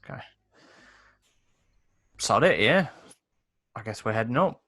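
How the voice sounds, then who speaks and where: A man's voice: medium pitch, animated, a little slow, bright, forceful tone. A man playing a tabletop roleplaying game, chatting casually with the group.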